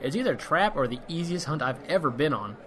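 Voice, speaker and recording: A man plays a wisecracking monster hunter in a fantasy audio comedy. His fairly high male voice tosses off sardonic lines with cocky ease. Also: lively intonation, fast talker, a bit bright. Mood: breezy. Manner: breezy, sardonic banter.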